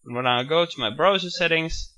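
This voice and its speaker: A man walking through a software screencast, explaining each step in a steady, matter-of-fact way with bits of enthusiasm. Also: on the high side, brisk. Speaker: software tutorial presenter. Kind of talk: screencast walkthrough narration.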